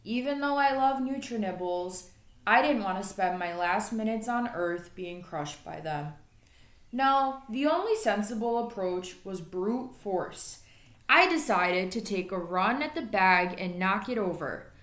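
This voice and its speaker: A woman's voice: mid-range voice, expressive, a little slow, fairly bright tone. A woman reading a children's story aloud expressively, like an elementary school teacher. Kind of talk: storybook read-aloud narration. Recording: echoey room.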